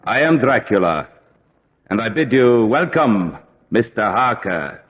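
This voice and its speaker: Ancient vampire aristocrat, very slow delivery, low voice, formal, menacing courtesy, vintage radio drama, dull recording. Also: male voice, very animated. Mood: courtly. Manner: formal, grandiose, deliberate. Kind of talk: old-time radio drama acting.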